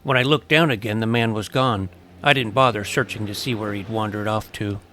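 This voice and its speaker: A man's voice: fairly low pitch, fairly quick, sharp tone, a little hiss or hum. Man voicing a wry boy detective narrator. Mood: suspenseful. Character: twelve-year-old boy detective narrating his adventure, wry. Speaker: narrator and lead. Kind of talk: scripted first-person story narration. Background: clear music.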